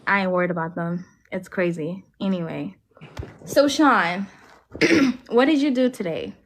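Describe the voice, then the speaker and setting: A woman's voice: on the low side, measured pace. A woman hosting a livestream, chatting casually with lots of "like" fillers. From Alabama, US. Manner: chatty, filler-heavy with frequent "like". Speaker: livestream host. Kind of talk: casual chat.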